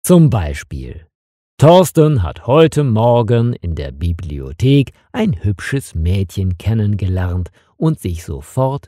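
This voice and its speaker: A man narrating a detective story slowly and clearly for language learners, with dramatic pauses. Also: fairly low pitch, dark tone, no echo, clean studio sound. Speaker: narrator of a German learning channel.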